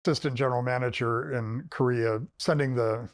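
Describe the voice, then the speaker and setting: A man explains events in a documentary interview in a calm, explanatory way. He adds a light sarcastic edge now and then. His voice sits in an ordinary middle pitch. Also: fairly quick.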